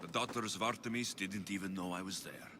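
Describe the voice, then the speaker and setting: A man's voice: low voice, brisk, noisy background, natural voice. A man playing a brave, blunt mercenary hero in a video game, resolute and direct. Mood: earnest. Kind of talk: dramatic video game acting.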